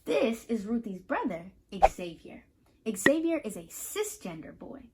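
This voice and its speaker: A woman reading a picture book aloud to children, pausing to explain and put questions to the listeners in an instructive, animated way. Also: a little high, heavy background noise. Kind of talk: children's read-aloud with teaching asides.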